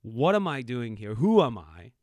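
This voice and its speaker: A man's voice: medium pitch, moderate pace, dry recording. A middle-aged man co-hosting a podcast, telling stories reflectively with lots of fillers and restarts. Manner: reflective, animated storytelling with many fillers. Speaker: podcast co-host. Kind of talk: casual podcast conversation.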